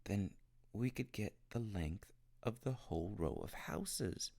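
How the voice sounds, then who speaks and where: A man's voice: lowish voice, lively intonation, slow, darkish tone, no echo, heavily acted. A man voicing an eager, bossy and imaginative schoolboy in a storybook reading. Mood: curious, eager.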